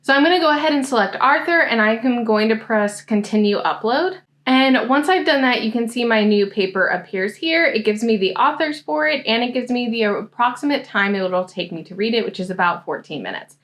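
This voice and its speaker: A woman coaching grad students, giving a friendly software tutorial walkthrough. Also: a little high, expressive, brisk, hardly any pauses. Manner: friendly, explanatory screen-demo talk. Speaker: science grad school coach.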